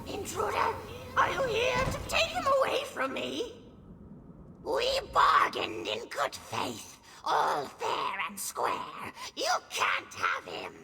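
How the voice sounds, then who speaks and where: A woman voicing a lonely, possessive old witch in a video game, creaky, shrill and melodramatic. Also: bright, forceful tone, a little hiss or hum, heavily acted. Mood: accusing, anguished. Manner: melodramatic, swooping, drawn-out phrases. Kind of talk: video game character acting.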